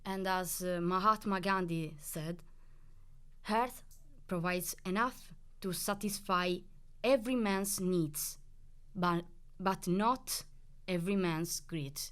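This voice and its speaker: A woman with a lowish, clear and resonant voice reads carefully for a student podcast. Her delivery is steady, with a few small slips. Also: slow. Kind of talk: reading a prepared segment on the environment.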